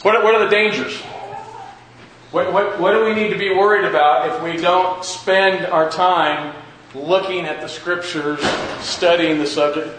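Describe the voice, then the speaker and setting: A man with a slightly high voice leads a Bible class in a very reverberant room. He speaks informally, circling back over his questions and rewording them for the group. There is faint noise in the recording. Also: steady tone, a little slow, fairly bright tone. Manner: repeats and rephrases questions for emphasis. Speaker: Bible class teacher at a church. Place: large echoey indoor room with a class present.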